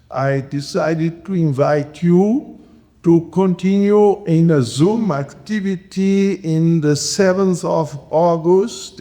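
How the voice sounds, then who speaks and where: A man from Brazil giving a halting conference talk as a workshop facilitator, with many pauses and fillers. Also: on the high side, dark tone. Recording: long hall reverb.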